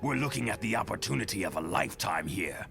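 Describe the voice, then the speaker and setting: Motion comic casting: bossy mutant schemer, heavily gravelled, lowish, lively, contemptuous bark. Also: male voice, fast talker, over music. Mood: bossy, scheming. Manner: barking, contemptuous. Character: impatient, bossy male mutant villain scheming a trap.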